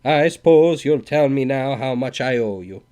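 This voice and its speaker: A man's voice: mid-range voice, animated, moderate pace, mellow, pitched above the performer's own voice, lightly acted. A man voicing a swaggering, insolent male traveler who jeers and mocks. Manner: insolent, mocking.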